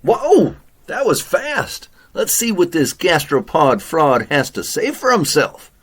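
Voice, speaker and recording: A man's voice: on the high side, slow, slightly rough, full character voice. A man acting an earnest, folksy raccoon sheriff detective in a children's audio drama. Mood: curious, businesslike. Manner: animated, folksy, wordplay-loving.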